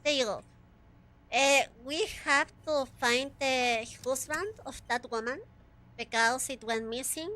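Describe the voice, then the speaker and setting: High, bright, giggly streamer woman. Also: unhurried. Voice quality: raspy. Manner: giggly, chatty, talks to chat. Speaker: VTuber streamer. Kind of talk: casual gaming commentary.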